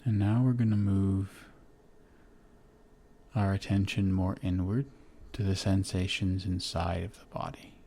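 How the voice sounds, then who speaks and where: A man leading a guided meditation in a gentle, soothing manner, with long pauses between short phrases. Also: low voice, little pitch movement, husky. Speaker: guide of a relaxation exercise. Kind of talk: guided meditation, body scan.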